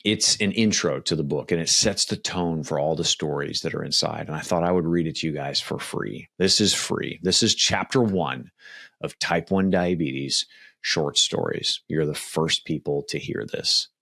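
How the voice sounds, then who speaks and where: A man hosting a podcast, talking and reading his own writing in a conversational, emphatic way with dramatic pauses. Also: a bass voice, gravelly, a bit bright. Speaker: podcast host and author. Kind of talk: casual podcast talk, then storytelling book reading.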